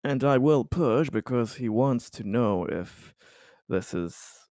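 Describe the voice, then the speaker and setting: A man playing a tabletop roleplaying game, explaining his character's thinking in a casual, deliberate, wordy way. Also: medium pitch, animated, a little mellow, dry recording, studio quality. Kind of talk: casual in-game discussion, describing his character in third person.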